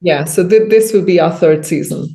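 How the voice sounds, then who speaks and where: A woman's voice: lowish voice, moderate pace, vocal fry, warm tone. A woman in her thirties talking casually as an interview guest on a video call, explaining thoughtfully and rephrasing as she goes. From India. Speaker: interview guest, agriturismo and winery owner. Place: indoor room, on a video call.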